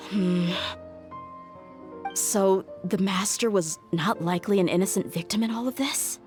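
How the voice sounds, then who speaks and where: A woman's voice: mid-range voice, unhurried, a little mellow, faint music behind, close to an everyday voice. An actress playing a tough, loyal female mercenary bodyguard in a video game, speaking in a casual, frank way. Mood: defensive.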